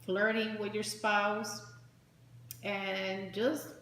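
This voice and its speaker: A woman's voice: medium pitch, flat delivery, extremely slow, fairly bright tone. A woman co-hosting a talk show, chatting casually and offering advice. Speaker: co-host of a marriage advice show. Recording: roomy echo with faint background noise.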